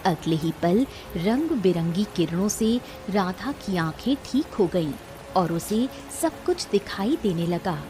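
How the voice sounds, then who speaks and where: A woman's voice: lowish voice, expressive, takes it slowly, music in the background. A woman giving scripted narration of a moral folk tale as the storyteller.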